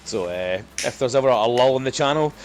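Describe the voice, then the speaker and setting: A man's voice: mid-range voice, animated, fairly quick, soft background music. A man chatting casually with friends while gaming, in a rambling, self-deprecating way. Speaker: podcast guest, dungeon master. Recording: clearly noisy background.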